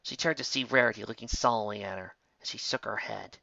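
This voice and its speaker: Bright-voiced story narrator, dry lo-fi recording. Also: mid-range voice, quick pace, creaky, no room sound. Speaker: fan fiction narrator.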